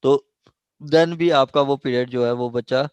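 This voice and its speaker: Male Pakistani law lecturer, measured and unhurried, explanatory classroom delivery, close dry sound. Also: medium pitch, darkish tone, clean tone, no room sound. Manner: explanatory, code-switching between Urdu and English, with frequent check phrases. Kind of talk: classroom lecture, reading statute aloud and explaining it.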